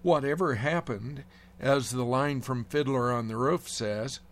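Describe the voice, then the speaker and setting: A man reading a prepared devotional essay aloud in a measured, reflective way with gentle wry humor. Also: medium pitch. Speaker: devotional speaker.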